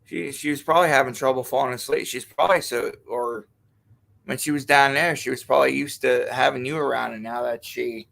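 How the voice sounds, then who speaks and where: A man's voice: mid-range voice, steady tone, vocal fry, a bit bright. A man hosting a live stream, chatting in casual crude banter, easygoing and swearing freely. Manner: easygoing, swears a lot, quick to laugh.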